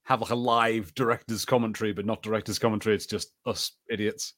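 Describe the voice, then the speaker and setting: A man's voice: lowish voice, steady tone, quick pace, fairly bright tone. A man co-hosting a podcast, chatting enthusiastically and nostalgically in casual watch-party commentary. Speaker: podcast co-host.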